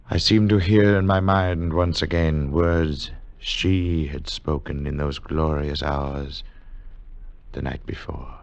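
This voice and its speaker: Grave male adventurer-narrator, vintage radio drama: very low, deliberate, dramatic. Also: dry recording, full character voice. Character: grave male adventurer recounting a strange tale. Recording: dull old 1940s radio recording with music.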